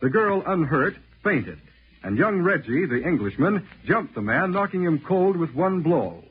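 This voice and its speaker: A man's voice: a little high, sing-song, unhurried, a little hiss or hum. A man with an American accent reading scripted narration in a dramatic, clipped 1940s radio announcer style. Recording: thin, phone-like old radio recording.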